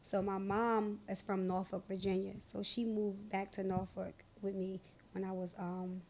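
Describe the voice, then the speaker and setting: Podcast guest, woman in her thirties, animated and profane, low hiss behind. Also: unhurried. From Baltimore. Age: 30s. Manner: animated, profane, laughing storyteller. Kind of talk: casual storytelling chat.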